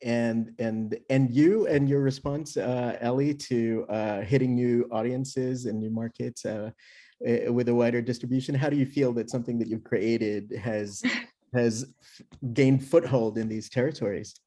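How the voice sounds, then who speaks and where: A man moderating a panel talk, asking long questions with many pauses and fillers. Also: medium pitch, steady tone, dark tone. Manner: halting, many fillers, encouraging.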